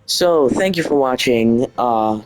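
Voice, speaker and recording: Slow-paced Dutch man over music. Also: medium pitch, expressive, poor audio. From Netherlands. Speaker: gaming video host. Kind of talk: casual gaming commentary.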